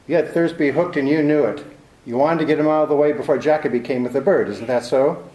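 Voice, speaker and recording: A man's voice: a little high, fast, mellow, echoey room, a little hiss or hum, close to an everyday voice, rough recording. An actor playing a hard-boiled, cynical male private detective in a 1940s-style radio drama, with a clipped, sardonic delivery. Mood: hard, blunt.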